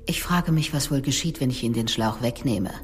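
A woman's voice: extremely low pitch, a little fast, slight background noise. An actress playing a sardonic, menacing police detective in a radio drama, needling and sarcastic as she questions suspects. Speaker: police investigator.

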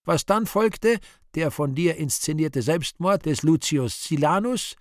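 An actor playing an urbane, sardonic Devil in a radio play, speaking with deliberate irony and mock courtesy. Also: male voice, fairly high pitch, animated, no echo, studio quality. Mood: sardonic recital. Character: urbane, sardonic Devil interrogating dead sinners. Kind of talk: theatrical radio play monologue.

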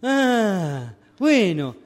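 A naive, earnest customer, voiced by a man. His voice sits high and swings widely in pitch. He asks each question slowly, as if puzzled. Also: sing-song, heavily acted. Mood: bewildered. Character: naive male customer seeking a new world. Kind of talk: amateur radio drama rehearsal.

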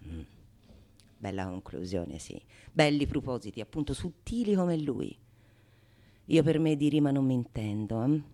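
A woman's voice: rumbling low, big pitch swings, a little slow, pauses often, warm tone, voice pushed down, full character voice. A woman playing a scornful, crafty go-between in a theatrical radio monologue, with a dry, sardonic manner. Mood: mocking. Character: scornful, crafty go-between mocking a lover's verses.

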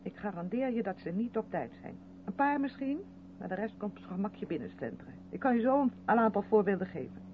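A hissy, phone-thin old radio drama recording carries an earnest, strict woman played by an actress. Her pitch moves in lively swings as she probes and insists, emphatic in seventies radio style. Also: brisk, close to an everyday voice. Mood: stern, insistent. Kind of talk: 1970s Dutch radio play acting. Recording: noisy, tinny band-limited old radio recording.

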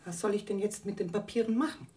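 A woman's voice: mid-range voice, quick pace, warm tone, close to an everyday voice. An actress playing a guilt-ridden, bitter middle-aged mother in a German radio drama, anguished and fearful in manner.

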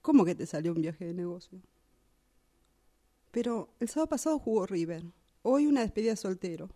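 A woman's voice: mid-range voice, expressive, fairly quick, warm tone, lightly acted. A woman with an Argentine Rioplatense accent playing a hurt, resentful partner on the phone in a radio drama.

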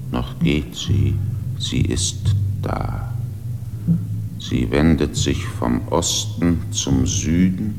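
An ancient, brooding slate mountain, deep and gravelly. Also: male voice, full character voice. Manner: slow, solemn, half-whispered. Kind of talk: poetic radio play monologue. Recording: long reverb under clear music.

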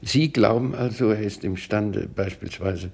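An actor playing a worried older gentleman questioning his butler in a radio play. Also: unhurried, a little hiss or hum. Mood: fretful. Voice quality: gravelly, low. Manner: fretful, questioning.

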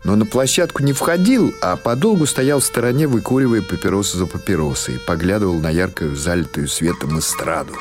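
A man's voice: low-pitched, lively intonation, fairly quick, few pauses. A man reading literary prose as an audiobook narrator, in a scripted storytelling style. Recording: music behind the voice. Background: music.

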